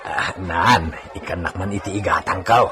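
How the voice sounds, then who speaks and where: A man's voice: sits low, normal speed, sharp tone, a faint crowd. A male actor in a radio drama playing a hard-drinking hacienda worker who wheedles and nags. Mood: wheedling, insistent.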